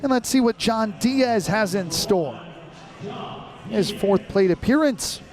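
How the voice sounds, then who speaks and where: A man's voice: high voice, expressive, slow pace, crowd noise. A young American man calling baseball live as a radio play-by-play announcer, in clipped, descriptive phrases. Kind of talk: live sports commentary.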